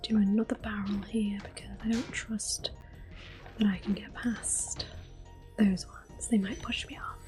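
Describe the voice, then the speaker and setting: A woman whispers softly and breathily through a video game as an ASMR host. Her voice is dark and mellow, gentle and unhurried, with faint music behind it. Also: mid-range voice, fairly even intonation.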